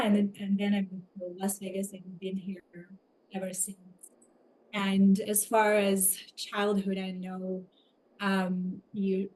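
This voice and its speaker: Ukrainian accent woman, podcast guest telling personal story, reflective, bit halting, mid pitch. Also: a little mellow, low-quality recording. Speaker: podcast guest, somatic coach.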